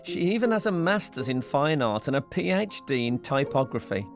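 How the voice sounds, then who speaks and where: A man's voice: medium pitch, very animated, normal speed, faint music behind. A male television presenter who teases guests with jokes and reads scripted narration. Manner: teasing, playful. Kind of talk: scripted narration and jokey banter.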